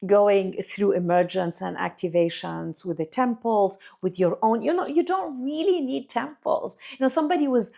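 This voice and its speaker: A woman, an Arabic speaker, talks straight to her viewers in a rolling, incantatory spiritual monologue. Her voice sits at a middling pitch for a woman. She stacks phrases one after another and leans on "you know, like" as she goes. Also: lively intonation, normal speed, gravelly, hardly any pauses, warm tone. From Egypt. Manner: effusive and incantatory, addresses listeners as beloved, many "you know, like" fillers. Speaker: spiritual teacher and channel host.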